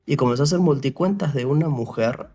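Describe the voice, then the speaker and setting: Gravelly young man telling a rambling story. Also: mid-range voice, fast, warm tone, dull audio. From Paraguay. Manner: rambling, full of restarts and "o sea", re-enacts dialogue. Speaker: interview guest. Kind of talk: casual storytelling chat.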